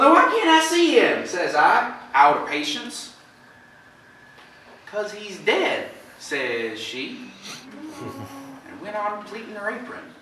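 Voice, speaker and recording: A plain-spoken country farmer acted by a man gives a folksy, halting account on an amateur stage. His voice is bright and swings widely in pitch. It sounds distant and very echoey. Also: exaggerated voice. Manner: folksy, halting storytelling with dramatized quotes. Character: plain-spoken neighboring farmer recounting a shocking visit. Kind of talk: amateur stage play acting. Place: theatre stage, indoors. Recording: echoey stage from far off, faint noise.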